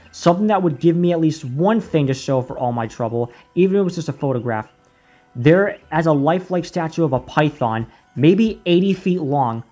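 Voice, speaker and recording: A man reading a horror story aloud in a slow, deliberate way, now and then stumbling over a word and restarting it. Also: fairly high pitch, a little mellow. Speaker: narrator. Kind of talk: creepypasta reading.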